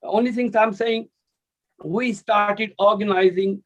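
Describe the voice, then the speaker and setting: A man's voice: high-pitched, steady tone, slow. A man with a Pakistani accent, a party activist, speaking earnestly over a video call with broken grammar and fillers. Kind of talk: informal speech. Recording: muffled lo-fi call audio.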